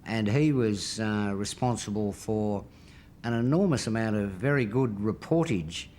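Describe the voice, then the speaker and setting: A man's voice: lowish voice, unhurried, a little mellow. An older man with an Australian accent, reminiscing in an interview. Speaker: interviewee, former activist. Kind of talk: reflective interview recollection.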